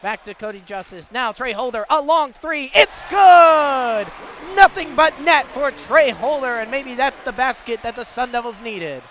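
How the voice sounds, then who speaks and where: A high-pitched male radio announcer gives live play-by-play of a game. His voice sits over the ambient noise of a sports venue, following the action in the manner of a broadcast commentator. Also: expressive, unhurried, fairly bright tone, some chatter behind. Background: clear ambient venue noise.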